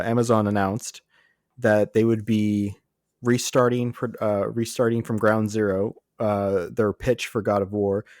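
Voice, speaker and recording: A man's voice: lowish voice, darkish tone, dry recording, clean studio sound. A man co-hosting a podcast, chatting casually about entertainment in a jokey, exclaiming storyteller's way. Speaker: podcast co-host. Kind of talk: casual film and TV news chat.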